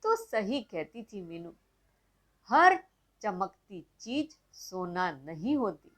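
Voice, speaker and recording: A woman's voice: medium pitch, very animated, slow pace, a bit bright, heavily acted. A woman voicing a clever, greedy male crow in a children's storybook, talking in a smug, boastful way. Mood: regretful.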